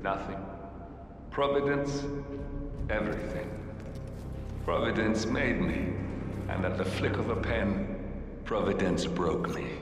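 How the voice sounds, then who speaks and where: An actor playing a grave, vengeful rebel leader in a video game, speaking in a measured, bitter way. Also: male voice, on the low side, noisy background. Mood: bitter, resolute. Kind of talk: video game cutscene acting. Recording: echoey hall reverb.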